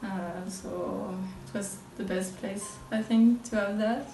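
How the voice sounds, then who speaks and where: Slow, mellow young woman from France. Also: mid-range voice, fairly even intonation, vocal fry, reverberant, recorded from a distance, faint music behind. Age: 20s. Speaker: exchange student. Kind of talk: casual interview answers. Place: indoors, room.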